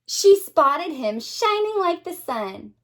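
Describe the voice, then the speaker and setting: Woman, teacher's storytime read-aloud, slow pace, big swings in pitch, expressive, addressing young children. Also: noisy background. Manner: dramatic, expressive delivery with questions to young listeners. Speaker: teacher reading a picture book to young children.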